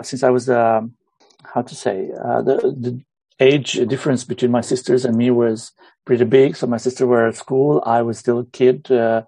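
Hesitant Romanian-accented man, mellow voice. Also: medium pitch, gravelly, no room sound, poor audio. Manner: hesitant, many pauses and fillers. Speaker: guest musician. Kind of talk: casual interview.

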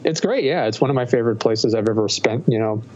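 A man's voice: mid-range voice, lively intonation, quick pace, a little mellow. An American man chatting casually in a rambling, sweary way. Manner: rambling, profane. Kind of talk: casual chat. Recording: muffled lo-fi audio with clear noise.

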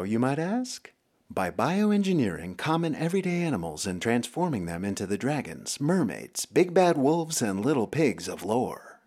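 A man's voice: on the low side, husky. A man working as a podcast host, reading scripted narration for a children's story show.

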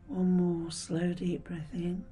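A woman leading a scripted guided meditation in a soothing, hushed, breathy voice with gentle pauses. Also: on the low side, monotone. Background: background music.

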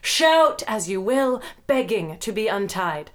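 A woman playing a solemn enchantress goddess in an audio drama, delivering measured, incantatory and ominous warnings. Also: mid-range voice, big pitch swings. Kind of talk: audio drama verse recitation.

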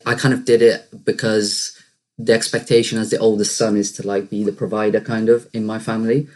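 A young man raised in the Netherlands, a native Dutch and Arabic speaker, co-hosting a podcast in a relaxed, easygoing way. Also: fairly low pitch, brisk. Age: young adult. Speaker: podcast co-host. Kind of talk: casual podcast chat.

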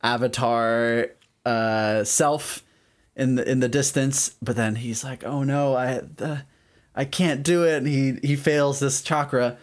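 A man with an average-pitched voice co-hosts an informal discussion. He recaps scenes in everyday language and acts out short lines from the characters along the way. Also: fairly even intonation, takes it slowly. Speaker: podcast co-host. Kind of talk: casual chat, retelling scenes from shows and films.

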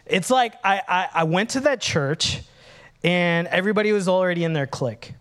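A man's voice: high voice, moderate pace, clear, resonant tone. A man, preaching a candid, humorous sermon as pastor. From Minnesota, USA. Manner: animated, candid, humorous, acts out dialogues.

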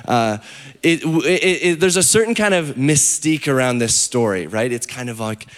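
A hoarse man preaching at a middle pitch, his voice worn thin from talking all day. He speaks candidly and pokes fun at himself, stumbling through fillers and restarts as he builds his sermon. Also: animated, moderate pace. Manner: candid, self-deprecating, many fillers and restarts. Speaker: pastor.

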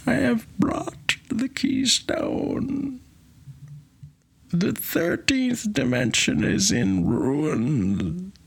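A high-voiced, eccentric herald from another dimension in a comedy skit. The speech is slow, theatrical and portentous, swinging widely in pitch. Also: male voice, huge pitch swings, gravelly, breathy edge, heavily acted. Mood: ominous proclaiming.

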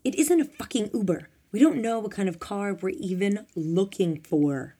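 A woman voicing a hot-tempered, bossy, foul-mouthed man who shouts in exasperation in an audiobook. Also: low voice, normal speed, creaky, slightly rough, full character voice. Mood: exasperated. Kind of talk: audiobook character dialogue.

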